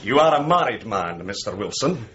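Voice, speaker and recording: An actor playing an effusive, self-important Scottish official in a radio drama, theatrical and officious in manner. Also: male voice, lowish voice, very animated, moderate pace, a bit bright, slight background noise. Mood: brisk, pompous. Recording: muffled radio play, crowd murmur behind.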